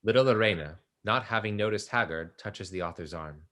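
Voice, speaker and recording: A man's voice: on the low side, brisk, sharp tone. A man narrating the stage directions of a play from a script.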